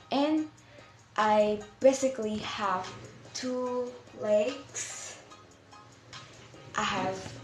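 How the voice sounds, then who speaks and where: A woman from Indonesia, speaking English as an as she presents a school video, halting and searching for words in simple phrasing. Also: medium pitch. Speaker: student. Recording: roomy echo with music behind.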